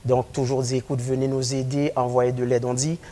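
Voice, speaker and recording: An earnest young man from Haiti, answering as a guest in a studio interview. He talks in a halting way, full of hesitations, at a middling pitch. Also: level tone, warm tone. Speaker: guest, community organizer.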